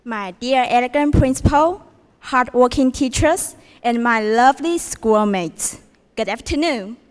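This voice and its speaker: A woman's voice: a little high, a little slow. A teenage girl from Taiwan with a Taiwanese accent gives a prepared contest speech in English, reciting carefully and putting questions to the audience. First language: Mandarin Chinese. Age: teens. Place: school auditorium. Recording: reverberant hall from a distance.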